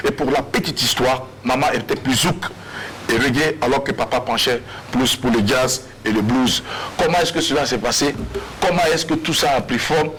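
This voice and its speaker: A man's voice: mid-range voice, fairly bright tone, low-quality recording. A man with a Burkinabé accent hosting a TV talk show, introducing and questioning a guest in a playful, theatrical way. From Burkina Faso. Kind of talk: animated guest introduction and interview. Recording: TV studio with audience noise.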